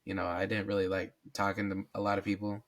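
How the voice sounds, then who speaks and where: A young man with a raspy voice in casual podcast chat, hesitant and wistful, with many fillers. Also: lowish voice, flat delivery, fast.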